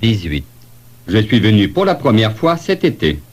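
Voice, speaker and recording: A man playing a polite traveller in a scripted language-lesson dialogue, giving deliberate answers. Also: fairly low pitch, huge pitch swings. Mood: polite, matter-of-fact.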